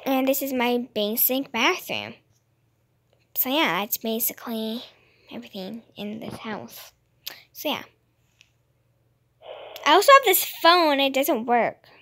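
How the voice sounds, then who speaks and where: A woman's voice: fairly high pitch, lively intonation, slow pace, pauses often, a bit bright. A woman hosting a casual gaming video, chatting as she plays. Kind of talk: casual gameplay chat. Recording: noisy home recording with clicks.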